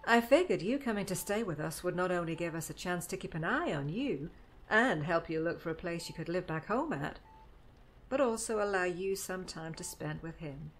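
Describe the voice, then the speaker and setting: A woman's voice: lowish voice, lively intonation, moderate pace, slight background noise, low-quality recording. Fretful, doting middle-aged mother, acted by a woman. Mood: worried, gentle. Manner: fussing, emotional. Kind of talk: audiobook drama acting.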